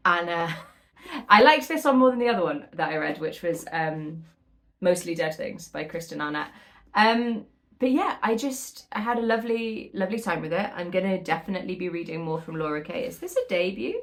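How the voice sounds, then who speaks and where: A woman chatting casually as a book vlogger, informal and a little rambling, often pausing and correcting herself as she goes. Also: fairly low pitch. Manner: informal, hesitant, self-correcting, rambling storytelling. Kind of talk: casual chatty book vlog, reviewing reading.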